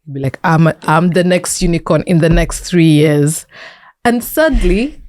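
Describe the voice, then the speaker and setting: A woman with a Kenyan accent talks as a guest in a conversational interview. Her voice is low and relaxed, recorded very dry. She explains by example and repeats words for emphasis. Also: moderate pace, no room sound. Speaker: interview guest, tech entrepreneur and founder.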